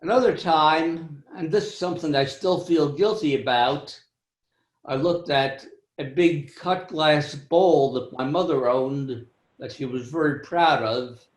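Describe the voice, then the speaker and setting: Older guy, kinda high voice, slow wry storytelling on a video call. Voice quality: high-pitched for a man. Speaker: club speaker. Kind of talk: personal storytelling speech.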